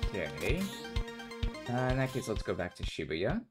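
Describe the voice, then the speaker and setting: A man's voice: low-pitched, very animated, normal speed. Man casually commentating over game music. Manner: thinking aloud. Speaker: gamer, video host. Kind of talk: casual visual novel let's play commentary.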